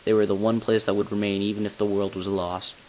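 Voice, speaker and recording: A man playing a tall, solemn bell ringer who reveals long-kept secrets in grave, deliberate narration for a game. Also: fairly low pitch, flat delivery, a little mellow, natural voice. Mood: solemn, explanatory. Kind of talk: scripted character narration, amateur game voice-over. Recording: hissy, noisy amateur home recording.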